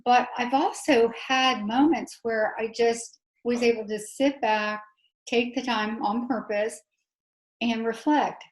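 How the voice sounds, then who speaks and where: A woman's voice: fairly high pitch, steady tone, slow, echoey room, poor audio. A woman hosting a webinar discussion, rambling with many restarts. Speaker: webinar host. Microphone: video call.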